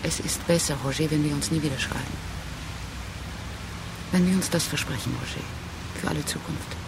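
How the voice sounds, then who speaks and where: Creaky-voiced, reproachful woman. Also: sits low, normal speed, background noise. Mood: bitter, resolute. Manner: insistent, accusing, clipped phrases. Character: proud, reproachful woman leaving her partner, an academic. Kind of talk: restrained radio drama dialogue.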